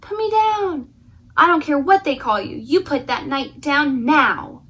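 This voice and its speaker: A woman's voice: high voice, big pitch swings, measured pace, pushed and bright, full character voice. A woman voicing a brave, bossy, clever young damsel, emphatic and commanding, in a children's storybook. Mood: indignant. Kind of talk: children's picture-book read-aloud.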